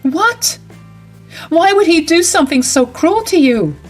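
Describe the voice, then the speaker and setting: A woman's voice: medium pitch, expressive, moderate pace, fairly bright tone, faint music behind. A woman voicing a caring young bride who speaks up firmly and indignantly to protect someone.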